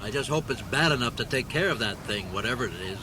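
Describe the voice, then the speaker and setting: A man's voice: mid-range voice, expressive, fast talker, noisy background, natural voice. A man playing a distracted, rambling middle-aged police chief in a comic radio play. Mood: flustered, grumbling. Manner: rambling, absent-minded, dramatic. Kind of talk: comic radio theater acting. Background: music.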